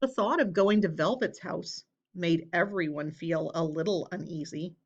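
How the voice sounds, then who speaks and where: Female children's storybook reader, unhurried and expressive, measured phrasing, slightly muffled lo-fi sound. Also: on the low side. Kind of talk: children's read-aloud, scripted narration.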